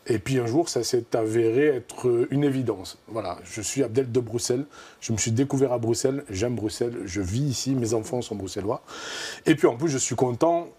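Southwest french guy in a tv studio, husky and mellow, lots of euh. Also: mid-range voice, brisk. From southern France. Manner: thoughtful, gestures while talking, many fillers. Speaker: comic artist guest. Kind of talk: casual studio interview. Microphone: lapel.

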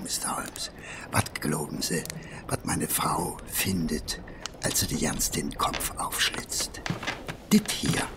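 Rambling working-class Berlin messenger, very animated. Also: male voice, mid-range voice, gravelly, slight background noise, heavily acted. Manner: rambling, hesitant, colloquial. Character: humble working-class messenger man, rambling, nervous and eager. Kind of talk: German audio drama acting.

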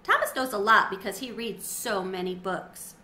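A middle-aged woman reading a children's story aloud expressively, with clear storytelling phrasing. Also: mid-range voice, normal speed, pushed and bright. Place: small windowed sunroom at home. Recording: roomy echo, faint background noise.

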